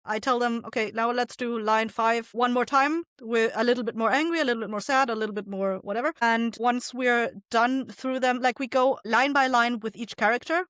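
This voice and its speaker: A woman with a fairly high voice answers as an interview guest. She explains her directing process in an informal, conversational way. The recording is dry, without room sound. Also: fairly even intonation, a little fast, hardly any pauses, fairly bright tone, dry recording.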